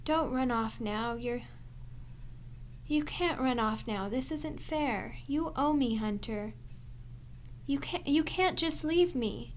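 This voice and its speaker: Woman acting slow, weary starving vampire woman. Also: high-pitched. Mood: desperate pleading. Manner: halting, sighing, breathy muttering with sarcastic asides. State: weak, parched. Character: starving captive female vampire, sardonic, desperate, foul-mouthed. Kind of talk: ASMR roleplay audio drama. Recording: clear background noise and ambience.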